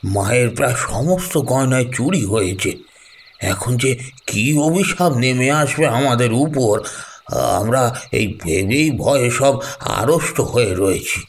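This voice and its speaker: A frightened elderly villager, played by a man, pleads slowly and anxiously in an audio drama. His voice sounds set back from the mic, with light hiss and ambience around it. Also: mid-range voice, expressive. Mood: fearful pleading. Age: older.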